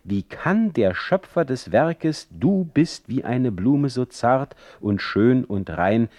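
A man voicing a young, idealistic gentleman in a radio play, gallant and easily offended, speaking in high-flown courtly phrases. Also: lively intonation, takes it slowly, no room sound. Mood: reproachful, lofty. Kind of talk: quoted dialogue in literary radio play.

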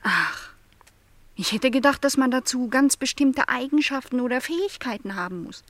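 An actress playing a shy but curious young housemaid in a radio play, speaking hesitantly and very politely. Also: female voice, high-pitched, expressive, moderate pace, a bit bright, dry recording. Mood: curious, tentative. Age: young adult.

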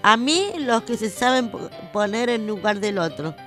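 A woman's voice: a little high, expressive, moderate pace, a bit bright, soft background music. A woman with a Rioplatense Argentine accent co-hosting, trading playful lines in a radio sketch. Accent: Rioplatense Argentine Spanish. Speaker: radio co-host.

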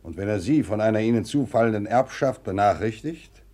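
An actor in a 1950s German radio drama playing a worldly, self-assured older gentleman, fatherly and emphatic in his declamatory delivery. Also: on the low side, expressive, brisk. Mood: impressed, congratulating.

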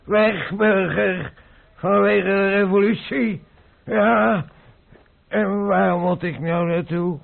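A man acting a drunken veteran cavalry sergeant in a radio play, rambling haltingly between boasts and gloom. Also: high-pitched, steady tone, creaky, full character voice. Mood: drunken, gloomy. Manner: halting drunken rambling, breaking into recruiting cries. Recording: muffled old radio play recording.

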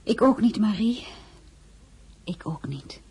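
An actress playing a dignified, wistful and wary crown princess in a radio drama, speaking formally and measured, often dropping to a breathy murmur. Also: female voice, medium pitch, animated, dark tone, no echo, exaggerated voice. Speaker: lead actress and first-person narrator. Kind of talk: Dutch radio drama acting.

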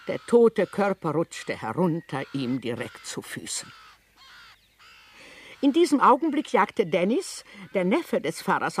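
A woman with a husky voice narrating a radio play in a scripted, dramatic storytelling style. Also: on the low side.

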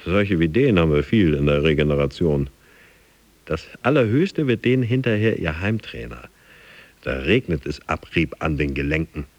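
An actor playing a driven, boastful gym fanatic in a radio drama monologue, intense and theatrical. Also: male voice, rumbling low, a little mellow, dry recording, heavily acted. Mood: contemptuous. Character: driven, boastful male gym fanatic dreaming of his boss and America.

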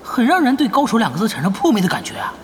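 A man with hugely varied pitch grumbles as an exasperated gamer. He is sarcastic and dismissive, in an audio drama with faint background noise. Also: wildly swooping pitch, rapid-fire.

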